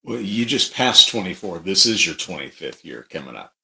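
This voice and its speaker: A middle-aged man from Dallas, Texas, co-hosting a podcast in casual conversation with a halting, reflective manner. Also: low voice, very animated, vocal fry, pushed and bright. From Dallas, Texas, United States. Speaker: podcast co-host.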